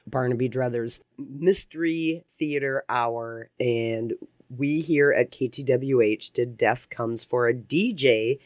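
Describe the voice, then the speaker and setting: A woman's voice: a bass voice, no room sound. A woman hosting a casual radio interview, talking in an enthusiastic, effusive way. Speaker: radio talk show host. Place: radio station studio.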